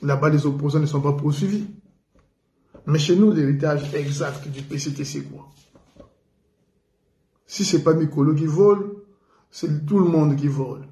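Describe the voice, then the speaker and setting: A man's voice: on the high side, measured pace, long pauses, mellow. Indignant Congolese man, echoey room. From Republic of the Congo. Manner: indignant, emphatic, repeats for stress. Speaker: radio commentator. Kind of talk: political commentary monologue. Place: living in France.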